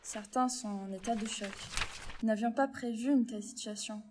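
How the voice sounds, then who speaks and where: A woman playing a grave nurse in a French audio drama, speaking in a measured, sombre way. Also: fairly high pitch, steady tone, noisy background, close to an everyday voice. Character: grave nurse reporting on weakened camp survivors.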